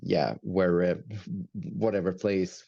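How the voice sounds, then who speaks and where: Deep gravelly swedish guy, slow halting chat, lots of uh's, kinda dull lo-fi sound. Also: steady tone, mellow, no room sound. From Sweden. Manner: hesitant, many fillers and restarts, laughs often. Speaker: guest musician, metal band. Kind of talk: casual interview chat.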